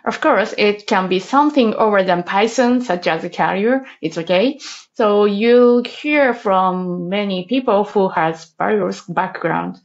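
A woman offers short, simple words of encouragement on a video call. Her voice sits at a middling pitch. The audio is slightly dull. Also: a little slow. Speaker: panelist. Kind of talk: panel discussion on video call.

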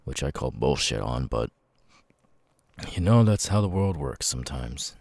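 An extremely deep-voiced, troubled ex-convict, acted by a man in an ASMR roleplay. He speaks slowly and haltingly, apologetic and stammering, in a very dry, close recording. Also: very animated, vocal fry, breathy edge, no room sound, exaggerated voice. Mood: confiding, hesitant. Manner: halting, stammering, sudden loud tic outbursts. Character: apologetic, troubled male ex-convict with involuntary tics. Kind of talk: ASMR audio roleplay, halting confiding monologue.

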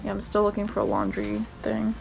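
A woman's voice: medium pitch, completely flat delivery, fairly quick, vocal fry, loud music behind the voice, low-quality recording. A young woman talking casually into her phone camera while shopping and riding in a car, with lots of "like" fillers. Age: young adult. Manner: chatty, filler-heavy, lots of "like". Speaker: vlogger. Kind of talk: casual shopping vlog chat. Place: in a car and in stores. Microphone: phone camera at arm's length. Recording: loud road and street noise.

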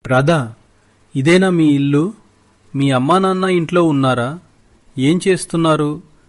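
A man's voice: on the high side, a little slow, close to an everyday voice. A man playing a kind, paternal, wealthy village employer in a moral cartoon. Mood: kindly. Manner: benevolent, paternal. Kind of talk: Telugu moral cartoon dialogue.

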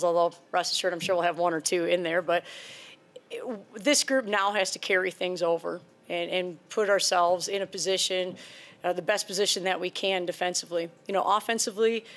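A woman in her forties, a head basketball coach, answering reporters' questions at a postgame press conference. She talks earnestly and conversationally into a table microphone, often repeating a word as she restarts a sentence. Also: lowish voice. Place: press conference room before a sponsor backdrop.

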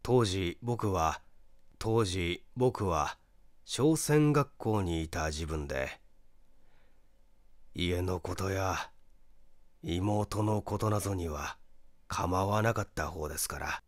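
A man playing a grave, regretful brother who explains in a measured, halting way, in a story reading. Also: deep voice, no echo, studio quality. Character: grave, regretful brother recounting a family mystery.

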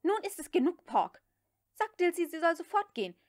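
A woman voicing a headstrong young Southern woman in an audiobook, giving curt, harsh orders in a sharp manner. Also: extremely high pitch, very animated, normal speed, voice pushed up. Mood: curt, commanding. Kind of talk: audiobook character dialogue.

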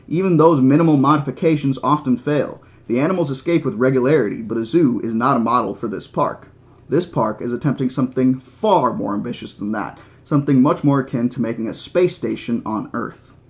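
Man reading as a flatly insistent lecturing mathematician. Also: mid-range voice, a little fast, pitched below the performer's own voice, natural voice. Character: male mathematician, lecturing, certain and condescending. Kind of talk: audiobook character reading.

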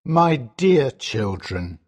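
A woman's voice: low voice, big pitch swings, takes it slowly, a little mellow, slightly rough, voice pushed down, heavily acted. A woman voicing a middle-aged merchant father in a storybook, speaking earnestly and with feeling. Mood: sad. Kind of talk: storybook character voice.

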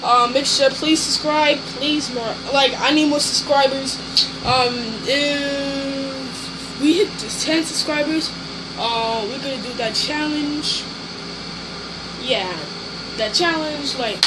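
A man's voice: very high-pitched, fairly even intonation, slow pace, very noisy. A young man casually rambling through a home vlog, full of fillers and restarts, recorded on a phone in a small dim bedroom. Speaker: YouTuber. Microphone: phone camera moved around room.

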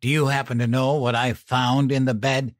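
A male reader puts on a distraught mother in an audiobook. The voice is high, harsh and tight, strained with anguish yet defiant. It sounds close and dry. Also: sing-song, takes it slowly, no room sound, exaggerated voice. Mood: tense, accusing.

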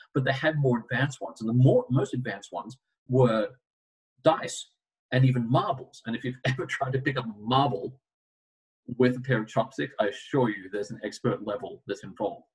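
A middle-aged man presents to a webinar over a video call, his voice a little husky. He tells stories with animated asides. The mic sits some distance away, so he sounds slightly far off. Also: medium pitch, normal speed.

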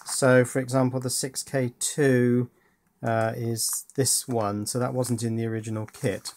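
A man's voice: medium pitch, slight background noise. A man hosting a casual hands-on electronics tutorial, informally thinking aloud as he works. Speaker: host.

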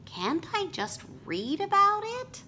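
A woman voicing a gentle, bookish young boy bunny who politely pleads and explains in a storybook read-aloud. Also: very high voice, wildly swooping pitch, slow, pitched above the performer's own voice, exaggerated voice. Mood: pleading.

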